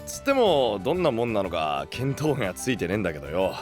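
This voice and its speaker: Casting: blunt big-brother knight, audio drama, highly expressive, slangy delivery, music underneath. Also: male voice, quick pace. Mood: earnest. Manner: blunt, rough slangy speech. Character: rough, big-brotherly young male knight, devoted to his lord. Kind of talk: Japanese anime-style audio drama acting.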